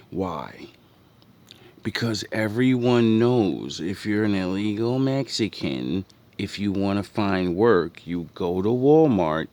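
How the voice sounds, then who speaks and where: A man's voice: lowish voice, a little mellow, dry recording. A man reacting to clips in casual, profane, sarcastic commentary. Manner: sarcastic, profane, mocking asides.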